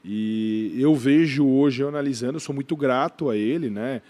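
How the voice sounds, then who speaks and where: A Brazilian man talks as a guest on a podcast, in a medium-pitched voice. His delivery is casual and halting, with repeated words and restarted phrases. He often tacks a brief questioning tag onto what he says. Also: dark tone. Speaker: podcast guest, gym brand owner.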